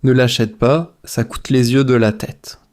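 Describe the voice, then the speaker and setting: A man reading language drills in a measured, repetitive way, phrase by phrase, as a teacher for shadowing practice. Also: medium pitch, fairly even intonation, darkish tone.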